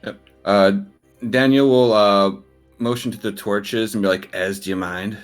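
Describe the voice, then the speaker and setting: A man in his forties playing a tabletop game, easygoing and a bit halting. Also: on the low side, fairly even intonation, clean tone, faint music behind. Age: 40s. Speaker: player.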